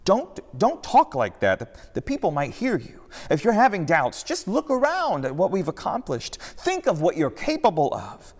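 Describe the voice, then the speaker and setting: A man's voice: sits high, huge pitch swings, normal speed, hardly any pauses, long reverb tail, voice pushed up, full character voice. A man voicing Peter, an anxious male disciple who sputters and insists, in a storybook reading. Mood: pleading.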